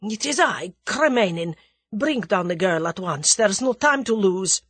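A woman's voice: mid-range voice, lively intonation, a little slow, breathy edge, no room sound. A woman voicing a frightened, bitter Russian man in an audiobook, speaking with vehement, snarling urgency. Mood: tense, urgent.

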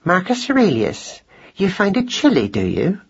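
A man plays a storybook mother mouse who rules her family. She is sharp-tongued, curt and protective, with a breathy, husky voice that swings widely in pitch. The audio is a little dull. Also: big pitch swings, normal speed, heavily acted. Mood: icy. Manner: curt, icy to her husband, soothing to her child. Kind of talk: children's audiobook character voice.

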